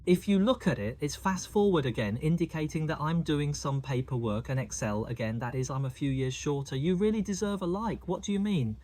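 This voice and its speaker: Mellow-voiced man explaining diamond prices. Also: a little high, brisk, few pauses. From Hong Kong. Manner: chatty, asks the viewer questions. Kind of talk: explaining price charts to camera.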